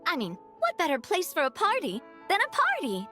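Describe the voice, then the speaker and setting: Bubbly young enthusiast in a video game: high, animated, giggly and eager. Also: female voice, brisk, faint music behind, heavily acted. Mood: excited.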